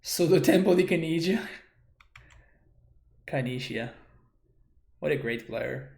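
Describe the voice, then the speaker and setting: A man's voice: a little high, expressive, takes it slowly, vocal fry, darkish tone. A man from Argentina, streaming a card game and thinking aloud as he works through his plays. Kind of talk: live card game commentary. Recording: roomy echo.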